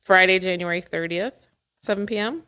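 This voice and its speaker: A woman's voice: fairly low pitch, moderate pace, bright, forceful tone. A woman speaking as an interview guest for an arts center, explaining things in casual conversation.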